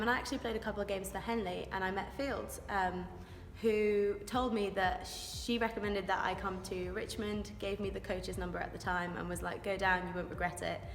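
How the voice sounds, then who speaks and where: A woman's voice: fairly low pitch, fairly bright tone. Young woman athlete, informal and keen, recorded in a reverberant hall. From Wales. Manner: chatty, eager, informal. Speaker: rugby scrum-half. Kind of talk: interview answers. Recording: echoey room with long reverb, music behind.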